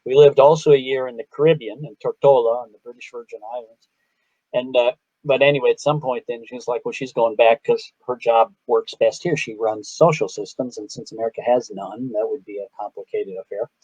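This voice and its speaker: A man's voice: mid-range voice, fairly even intonation, fairly quick, mellow, breathy edge, no room sound, lo-fi sound. A man with a Chicago accent, a guest artist telling long stories in a casual interview over a video call, often breaking off his own sentences. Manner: rambling, self-interrupting storyteller.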